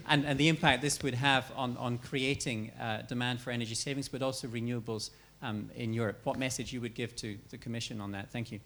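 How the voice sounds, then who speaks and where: A man's voice: medium pitch, fairly even intonation, fairly quick, a bit bright, a little hiss or hum. A man in the seminar audience politely putting a question to the panel.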